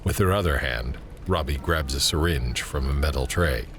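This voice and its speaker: A man narrating an audio drama in measured, descriptive scripted prose. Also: a bass voice, noisy background. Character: male narrator setting scenes, measured and descriptive. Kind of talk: scripted present-tense scene narration.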